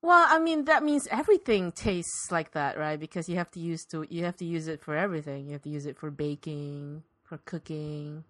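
Woman doing a straight-faced comedy interview, bright voice, kinda hesitant, dry close sound. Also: low-pitched, lively intonation, fairly quick, few pauses, no room sound. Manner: hesitant, repeating words, playing it straight.

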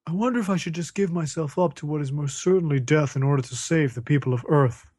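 A man's voice: on the high side, fast talker, a little mellow, no echo, lightly acted. A man playing an earnest, self-doubting superhero in a parody radio play. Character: earnest superhero agonizing over sacrificing himself.

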